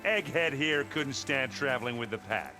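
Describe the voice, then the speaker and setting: A man's voice: medium pitch, expressive, moderate pace, big-room sound, music in the background, close to an everyday voice. A man playing a brash, burly warrior in a game cutscene, blunt and casual. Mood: mocking. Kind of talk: video game cutscene acting.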